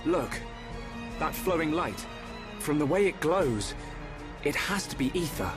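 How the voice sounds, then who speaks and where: A determined young hero. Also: male voice, on the high side, very animated, unhurried, music in the background. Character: thoughtful determined young man. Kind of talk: video game cutscene acting.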